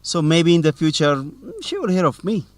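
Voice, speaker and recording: A man acts out a curious, confident young male student in an educational radio drama. His voice sits slightly high and swings freely in pitch. He is teasing and inquisitive, in a dry studio sound. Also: animated, normal speed, no echo, lightly acted. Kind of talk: educational radio drama dialogue, simple clear English.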